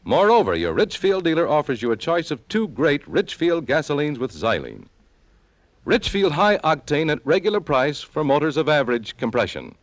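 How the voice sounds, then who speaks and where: Animated American radio ad announcer, man. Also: fairly high pitch, moderate pace, dry recording. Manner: polished, emphatic sales delivery. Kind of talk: vintage radio advertising read.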